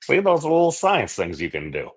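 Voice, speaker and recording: A man's voice: mid-range voice, huge pitch swings, moderate pace, breathy edge. A man hosting a livestream in a casual, rambling chat, jokey and self-deprecating as he drifts into digressions. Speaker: livestream host.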